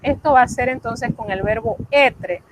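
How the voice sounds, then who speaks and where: High-voiced teacher explaining patiently. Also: female voice, normal speed, low-quality recording. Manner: patient, repeats key points. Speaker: French teacher. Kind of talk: online class explanation. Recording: loud background noise.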